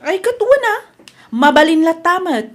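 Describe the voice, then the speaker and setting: A woman with an Ilocano Filipino accent acting as a gentle, devoted young fiancée in a radio drama. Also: extremely high pitch, very animated, normal speed. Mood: polite.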